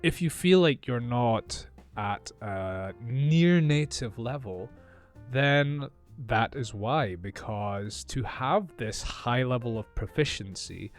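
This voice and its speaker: Male English teacher, mild Scottish accent, very slow and deliberate, mid-pitched, soft guitar underneath. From northeast Scotland. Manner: calm, careful, deliberate explanation. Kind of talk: slow, clear teaching monologue.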